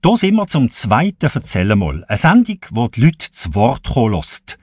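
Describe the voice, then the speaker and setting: A man's voice: mid-range voice, huge pitch swings, normal speed, music in the background. A man hosting a radio interview, asking friendly, prompting questions. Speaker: radio host.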